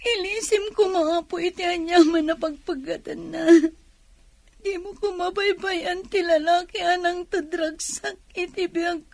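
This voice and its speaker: A woman's voice: extremely high pitch, fairly even intonation, unhurried, vocal fry, heavily acted. A woman with a Filipino accent acting an anxious woman worried for family in a radio drama. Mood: distraught, tearful. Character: anxious Filipino woman fretting over wounded relative.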